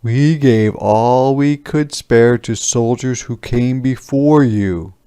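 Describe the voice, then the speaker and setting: A man's voice: medium pitch, slow pace, dark tone, smooth, pitched above the performer's own voice, close to an everyday voice. A man voicing wary, stingy peasant villagers in a storybook, plaintively making excuses. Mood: sorrowful excuses.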